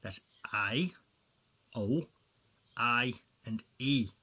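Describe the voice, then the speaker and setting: Excitable Welsh man hosting a quiz. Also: medium pitch, drawn-out words, slightly rough. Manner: chatty and excitable, with jokey asides. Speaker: quiz presenter for school pupils. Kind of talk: playful quiz commentary for children.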